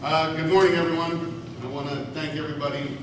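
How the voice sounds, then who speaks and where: A man's voice: a little high, flat delivery, brisk. A middle-aged man with a big voice, giving a formal, earnest campaign speech, with frequent "uh" fillers. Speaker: city council candidate. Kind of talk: campaign speech at a candidate forum. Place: school hall. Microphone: distant, speaking without a microphone. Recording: echoey hall from far off with room noise.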